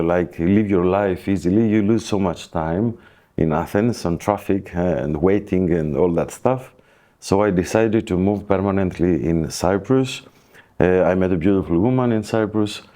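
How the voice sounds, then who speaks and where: A man with a very deep, dark voice and a Greek accent. He speaks as an expert guest on a podcast. His explanations come in hesitant, broken phrases. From Greece. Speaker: plastic surgeon, guest expert. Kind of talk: podcast interview, explanatory talk.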